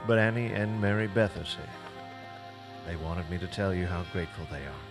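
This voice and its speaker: A man voicing a steady veteran male demon slayer who speaks in a quiet hushed tone. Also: deep voice, brisk, music in the background.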